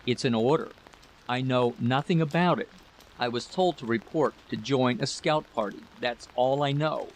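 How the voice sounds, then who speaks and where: A man's voice: mid-range voice, normal speed, lightly acted. A man voicing a troubled, evasive husband in a story reading, guarded and brief, on a noisy recording. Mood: evasive, doubtful. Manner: guarded, murmuring, short sentences. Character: troubled, evasive husband drafted into a scout party.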